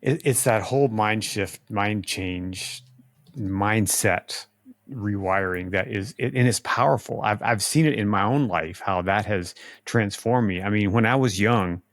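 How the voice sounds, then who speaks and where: A middle-aged man hosting a podcast, speaking slowly and deliberately in a casual conversation. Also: on the low side, dry recording, studio quality. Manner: slow, deliberate speech, says he talks slowly to avoid stammering. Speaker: podcast host.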